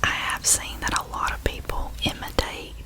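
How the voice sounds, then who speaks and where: A young woman with a Southern US accent, whispering softly for ASMR. She repeats words and phrases over and over into a close microphone. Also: moderate pace. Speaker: ASMR creator.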